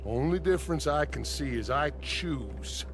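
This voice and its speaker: A slow, menacing outlaw leader. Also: male voice, fairly high pitch, expressive. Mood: cold menace. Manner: deliberate, taunting, grandiose. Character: menacing, sardonic male outlaw leader, theatrical and self-righteous. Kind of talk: video game cutscene acting. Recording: music behind.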